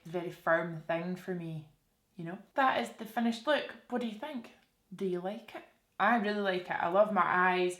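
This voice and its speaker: A woman's voice: fairly low pitch, a bit bright. Chatty Scottish woman in an echoey room. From Scotland. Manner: informal, conversational, addresses viewers with questions. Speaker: beauty vlogger presenting a makeup tutorial. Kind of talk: casual chatty makeup tutorial to camera. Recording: roomy, echoey indoor sound.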